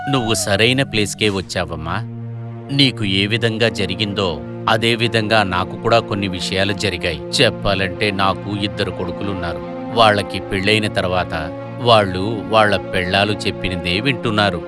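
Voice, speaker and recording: A man plays a kindly old man who runs a small roadside eatery in a cartoon tale. The voice is on the low side, fatherly and plain-spoken. Music plays clearly behind it. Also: moderate pace, lightly acted. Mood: reflective, welcoming. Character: kind elderly man running a small eatery. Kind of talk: animated moral story dialogue.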